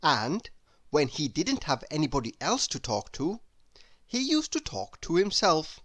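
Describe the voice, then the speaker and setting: Low-pitched, hugely animated woman storyteller. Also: measured pace. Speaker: storybook narrator. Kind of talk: expressive children's read-aloud narration.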